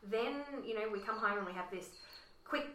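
A woman host with a croaky voice, chatting warmly and using lots of fillers. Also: mid-range voice, moderate pace, far from the mic. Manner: chatty, lots of "you know". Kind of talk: casual discussion. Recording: roomy echo.

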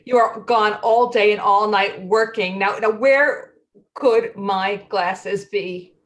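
A woman's voice: medium pitch, takes it slowly, a bit bright. A woman playing a flustered, evasive wife in a play reading, with a hesitant, stammering delivery. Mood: fretful.

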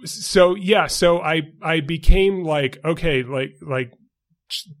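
A young man from New York City co-hosting a podcast, choosing his words carefully, with many fillers and restarts. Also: fairly high pitch. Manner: measured, precise wording with many fillers and restarts. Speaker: podcast co-host. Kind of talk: casual chat.

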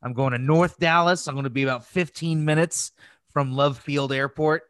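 A man's voice: a little high, steady tone, moderate pace, a bit bright, smooth, no room sound, studio quality. A man chatting casually as a podcast co-host, bantering and swearing freely. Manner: profane, bantering. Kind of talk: casual chat.

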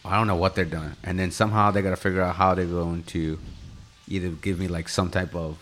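A man's voice: low voice, fairly even intonation, fairly quick, a bit bright, a little hiss or hum. A man from Mexico, Spanish his first language, with a slight lisp on th sounds, telling a story casually with fillers and chuckles. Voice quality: trouble with th sounds. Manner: laid-back storytelling with frequent fillers and chuckles. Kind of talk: casual chat.

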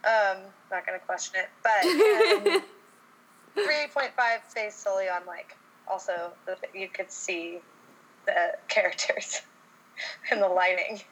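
A woman's voice: medium pitch, animated, measured pace, fairly bright tone, rough recording. Easygoing woman from Texas, podcast panelist. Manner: easygoing, rambling, lots of ums. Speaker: podcast co-host. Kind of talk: casual podcast chat.

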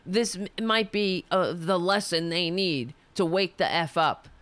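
Fiery, hoarse woman ranting slowly. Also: fairly low pitch, a bit bright, dry recording. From United States. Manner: fiery, ranting, restarts mid-sentence. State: losing her voice. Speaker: political show host. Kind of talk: impassioned political monologue.

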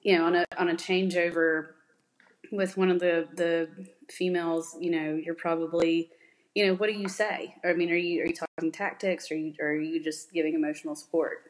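A woman's voice: lowish voice, little pitch movement, fairly quick, poor audio. A woman hosting an interview, asking long, rambling questions and giving encouraging replies. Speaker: podcast host. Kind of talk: casual interview chat.

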